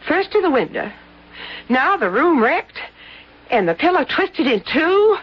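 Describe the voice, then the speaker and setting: An actress playing a plainspoken, scolding, sceptical innkeeper's wife in a radio drama, with folksy rustic speech. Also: female voice, sits high, sing-song, measured pace. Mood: unsettled. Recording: muffled old radio broadcast.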